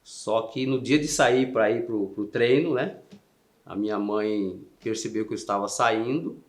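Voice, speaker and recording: Soft-spoken São Paulo man, low voice. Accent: São Paulo Portuguese. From São Paulo, Brazil. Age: 50s. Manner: soft-spoken and halting, with frequent "né" tags and restarts. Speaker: interview guest, Paralympic swimmer. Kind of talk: conversational interview answers.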